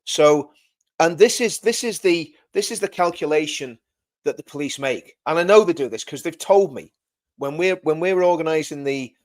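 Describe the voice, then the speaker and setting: A man hosting a casual livestream and talking straight to his viewers. He has a loud voice and rambles, repeating words and correcting himself as he goes. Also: fairly high pitch, animated, moderate pace. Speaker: livestream host.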